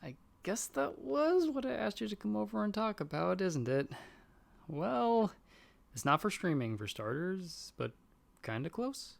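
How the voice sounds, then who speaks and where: A man's voice: high-pitched, sing-song, unhurried, creaky, heavily acted. A man playing a nervous, friendly young guy in an audio roleplay, talking in a casual, hesitant, self-deprecating way. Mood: sheepish, hesitant. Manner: chatty, hesitant, sheepish. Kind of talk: casual one-sided roleplay dialogue.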